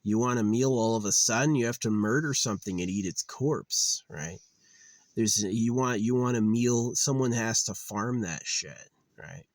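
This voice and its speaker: Weary low-voiced man rambling. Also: moderate pace, no echo. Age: 30s. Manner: musing, meandering, full of fillers and restarts; weary, resigned. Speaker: channel host. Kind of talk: rambling monologue.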